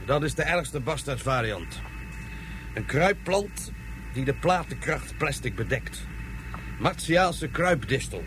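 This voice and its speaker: A man's voice: mid-range voice, very animated, slow pace, pauses often, noisy background. An actor playing a businesslike male guide who explains matters in a plain, informative way. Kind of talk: radio play acting.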